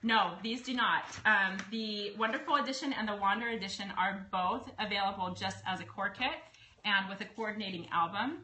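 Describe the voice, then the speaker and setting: A woman's voice: mid-range voice, pushed and bright. A woman hosting a casual live product stream, chatty and bubbly, answering viewer questions. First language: English. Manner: bubbly, chatty, quick to laugh. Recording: echoey room, faint noise.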